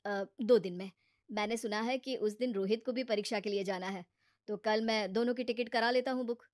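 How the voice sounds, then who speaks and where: Woman as a sensible, fairly high-voiced brother-in-law. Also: steady tone, fairly quick, a little mellow, dry recording, clean studio sound, close to an everyday voice. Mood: calm, accommodating. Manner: earnest, advising. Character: sensible, patient brother-in-law, a married working man. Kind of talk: animated moral story character acting.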